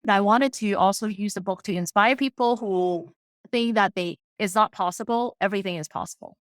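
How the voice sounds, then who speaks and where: A woman's voice: medium pitch, brisk, dry recording. A woman whose first language is not English, an accountant and author talking as a podcast guest, earnest and plain-spoken with frequent restarts. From immigrated to Canada in her late teens. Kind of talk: casual interview talk.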